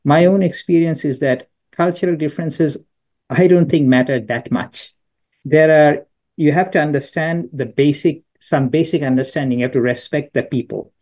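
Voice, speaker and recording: A man's voice: a little high, moderate pace, darkish tone. A middle-aged man from Bangladesh, a business school professor, answering a question on a video call with thoughtful anecdotes and frequent restarts. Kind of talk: answering questions in an online lecture.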